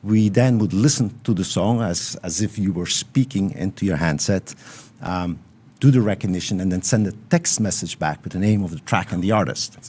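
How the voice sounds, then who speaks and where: Belgian-accented man with a low voice. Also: a little mellow, breathy edge. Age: middle-aged. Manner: measured, explanatory, frequent fillers. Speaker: tech CEO guest. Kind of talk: casual interview talk.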